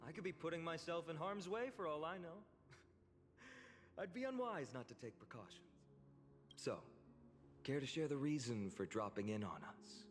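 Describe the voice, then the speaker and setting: An actor playing a wary, earnest young warrior in a fantasy game, speaking in a formal, measured way. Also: male voice, fairly high pitch, lively intonation, close to an everyday voice. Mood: guarded, stern. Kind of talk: scripted fantasy game voice acting. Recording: long reverb with music behind.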